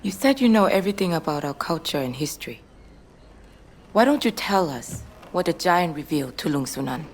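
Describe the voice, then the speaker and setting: Low-voiced, composed, stern village woman. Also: animated, fairly quick, slight background noise. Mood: challenging. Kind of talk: cinematic game cutscene acting.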